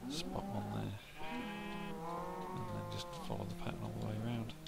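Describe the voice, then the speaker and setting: A man with a hoarse throat, quietly muttering casual gameplay commentary to himself as he builds. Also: lowish voice, wildly swooping pitch, moderate pace. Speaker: gameplay video host. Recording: home mic with loud background noise. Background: cows mooing in the game.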